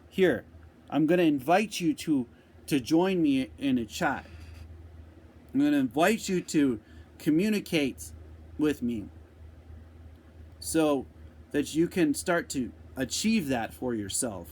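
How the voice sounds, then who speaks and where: A man giving an unscripted motivational talk, speaking slowly and deliberately with repeated phrases, answering a viewer's question. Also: fairly high pitch, slightly rough. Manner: slow, deliberate, repetitive phrasing.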